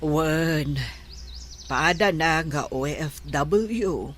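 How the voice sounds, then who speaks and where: A kindly middle-aged aunt who talks slowly and gently, full of apology. Her accent is Ilocano and the delivery is radio drama, over faint noise. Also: sits low.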